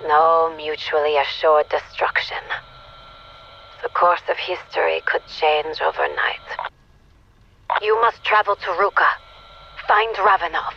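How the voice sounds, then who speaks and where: A woman acting a determined, grave scientist in a video game cutscene, speaking formally and earnestly, with measured phrasing. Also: deep voice, lo-fi sound, a little hiss or hum. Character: determined grave female scientist ally, guiding soldiers.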